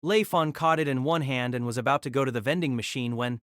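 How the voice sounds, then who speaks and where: A narrator telling a fan audio drama story in an expressive storytelling manner. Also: mid-range voice, quick pace, no echo, clean studio sound.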